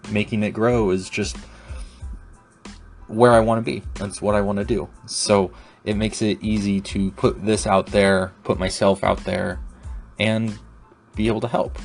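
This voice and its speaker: Low flat-voiced man hosting a podcast. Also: creaky. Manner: reflective, rambling with restarts. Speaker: podcast host. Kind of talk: solo podcast monologue.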